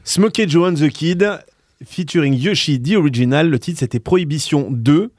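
Male radio presenter, deadpan wit, dead-dry booth. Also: a little high, moderate pace, no echo. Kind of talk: casual radio chat.

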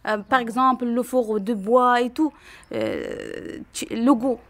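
A woman with a fairly high voice, telling her story in broken but animated French. She speaks with energy, recorded on a visit. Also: takes it slowly. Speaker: interviewee recorded on a museum visit.

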